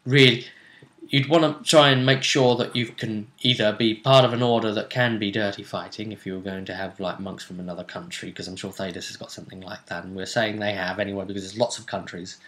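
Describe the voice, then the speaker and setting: A man's voice: lowish voice, fairly even intonation, fairly quick, fairly bright tone. A man chatting casually about a tabletop game, often rambling and restarting his phrases. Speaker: role-play show host. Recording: echoey room.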